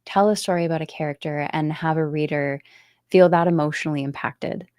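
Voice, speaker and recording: Female book-show host, low register, casual conversational delivery, dry sound with little room. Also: steady tone, a little fast, darkish tone, no room sound. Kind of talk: casual book chat interview.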